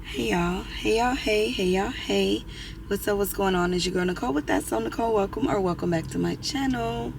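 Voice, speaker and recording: A woman vlogger with a hoarse voice as she gets over losing it, chatting casually to camera, often clearing her throat. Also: medium pitch, brisk. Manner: chatty, rambling with many fillers and throat clearing. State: hoarse, recovering from lost voice.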